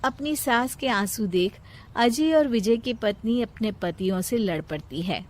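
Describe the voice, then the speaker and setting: A woman's voice: on the high side, animated, unhurried. A woman storyteller reading scripted narration of a moral family story.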